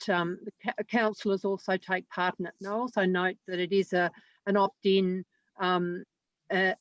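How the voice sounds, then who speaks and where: Measured woman on a dull line. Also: on the low side, no room sound. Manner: measured, with frequent ums and restarts. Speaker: councillor. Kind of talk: speaking to a motion in a meeting. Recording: dry, dull lo-fi sound.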